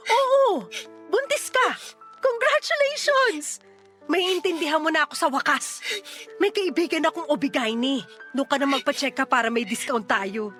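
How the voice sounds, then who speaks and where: A woman's voice: high voice, normal speed, soft background music. A Filipino woman acting as a playful, fiercely protective single mother around forty in a melodramatic radio drama. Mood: excited, teasing. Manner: animated, teasing, exclamatory.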